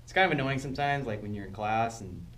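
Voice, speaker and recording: A man's voice: medium pitch, quick pace, fairly bright tone, recorded from a distance. A twenty-one-year-old man in a focus group, laid-back and hesitant, full of fillers. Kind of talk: casual discussion. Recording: clear background hiss.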